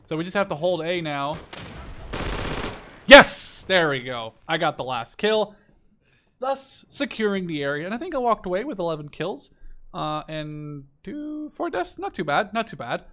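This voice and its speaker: A man's voice: high voice, big pitch swings, moderate pace, sharp tone. A man in his twenties giving casual, rambling live gameplay commentary, often correcting himself and swearing offhandedly. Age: 20s. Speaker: gameplay video host. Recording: game gunfire and clicking behind voice. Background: video game gunshots, machine guns, clicks.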